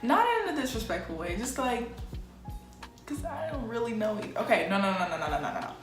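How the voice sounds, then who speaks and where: A woman's voice: on the high side, sing-song, fast talker. A twenty-year-old American woman from Chicago chats casually to camera as a vlogger, slangy and often interrupting herself. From Chicago, United States. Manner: informal, self-interrupting, slangy. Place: small plain-walled room indoors. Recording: echoey room with music underneath. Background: music.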